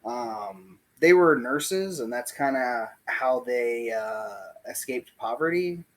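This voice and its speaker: Slow, reflective man in his forties. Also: medium pitch, expressive. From Puerto Rico, raised in the US South. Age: 40s. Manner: slow, reflective, pauses often with "um" and "like". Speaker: interview guest. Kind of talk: casual oral history interview. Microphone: video call.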